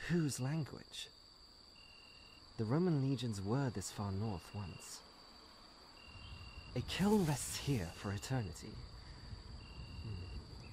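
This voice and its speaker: A man's voice: lowish voice, noisy background. A man playing a witty, unflappable female archaeologist adventurer in a comic dub, full of wry quips and muttered asides. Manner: wry, quipping, talks to self. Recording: music behind the voice. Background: clear music.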